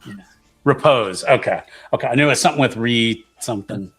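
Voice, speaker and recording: Gravelly man chatting at a game. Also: mid-range voice, fairly quick, fairly bright tone. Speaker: tabletop roleplaying player. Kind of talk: casual game chat.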